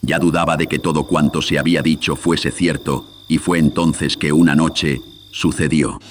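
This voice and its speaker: An extremely low male voice narrates a horror radio drama. He tells it solemnly and dramatically, like an old radio serial, through a processed filter. Crickets and faint noise sit behind him. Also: fairly even intonation, normal speed.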